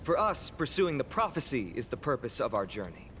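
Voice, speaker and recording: A man's voice: medium pitch, sing-song, a little fast, fairly bright tone, a little hiss or hum. A man playing an earnest, courteous young soldier hero in a video game. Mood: solemn, earnest. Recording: game music under the voice.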